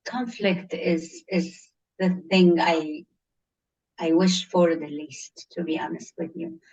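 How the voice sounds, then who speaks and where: A woman's voice: fairly low pitch, slow, darkish tone, distant mic, lo-fi sound. A woman from Egypt with an Egyptian accent, a teacher answering interview questions earnestly and repeating phrases for emphasis. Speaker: teacher, guest.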